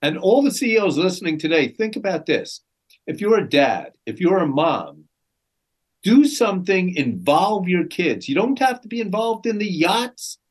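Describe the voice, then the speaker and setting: An effusive male host with a slightly higher-than-average voice. He heaps praise on his guest and moves into a preachy, encouraging close. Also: big pitch swings, moderate pace, breathy edge. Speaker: podcast host. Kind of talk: interview questions and outro.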